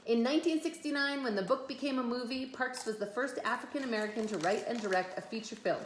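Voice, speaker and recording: A woman's voice: fairly high pitch, fast. A mother reading a children's biography aloud at home, with casual asides to her kids. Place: echoey room at home. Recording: echoey room, faint background noise.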